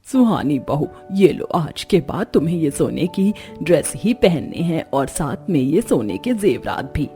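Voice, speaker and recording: A woman's voice: sits low, big pitch swings, a little slow, few pauses, mellow. A woman voicing a boastful, condescending middle-aged mother-in-law in a cartoon story, with music behind. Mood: proud. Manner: condescending, taunting. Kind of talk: Hindi cartoon story voice acting.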